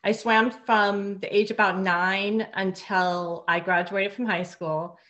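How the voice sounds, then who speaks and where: A woman's voice: medium pitch, level tone, slow pace, fairly bright tone, lo-fi sound. A woman in her fifties from Hawaii, a guest on a video call from her living room, talking earnestly and often repeating her words. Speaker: guest, real estate broker. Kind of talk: casual interview over video call. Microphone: laptop webcam on video call.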